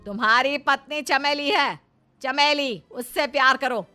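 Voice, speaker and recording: Woman playing a domineering, high-voiced older mother-in-law. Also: measured pace, sharp tone, soft background music. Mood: scolding. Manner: imperious, emotionally pressuring, scolding. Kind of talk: melodramatic Hindi cartoon story acting.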